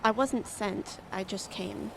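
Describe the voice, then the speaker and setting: A woman playing a sullen, defeated youngest daughter in a radio drama, speaking in terse, resigned phrases with a creaky voice. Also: medium pitch, takes it slowly, a bit bright, low-quality recording. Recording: faint outdoor roadside noise.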